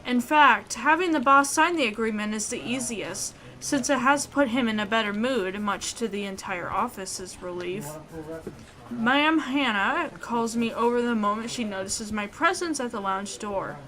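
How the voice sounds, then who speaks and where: A woman hosting a let's play, reading a visual novel aloud in an expressive way. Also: fairly high pitch, moderate pace, poor audio.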